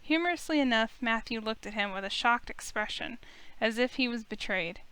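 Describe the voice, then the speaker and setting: A woman's voice: a little high, lively intonation, normal speed, bright, forceful tone, smooth. A woman streamer reading a visual novel aloud, telling the story expressively in the first person. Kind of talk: expressive story read-aloud of game text.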